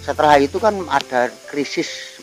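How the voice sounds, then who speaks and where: A man's voice: a little high, expressive, moderate pace, soft background music, low-quality recording. An older man with a Ngapak Javanese accent, a veteran actor chatting as a guest in a rambling, musing way with frequent chuckles. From Banyumas region, Central Java. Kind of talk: casual reflective chat. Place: outdoor garden table at night.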